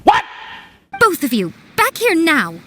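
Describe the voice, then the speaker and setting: A woman's voice: very high voice, huge pitch swings, takes it slowly, sharp tone, full character voice. A woman voicing a sly, teasing shrine priestess who runs a publishing house, in a video game. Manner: teasing, playful, superior.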